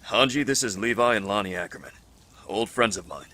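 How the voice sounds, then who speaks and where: A man playing a cautious, measured doctor who leads a group of apocalypse survivors in an audio drama. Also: on the low side, expressive, sharp tone. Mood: polite, guarded. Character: weary, cautious doctor leading survivors, formal and polite. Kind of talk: fan audio drama dub acting.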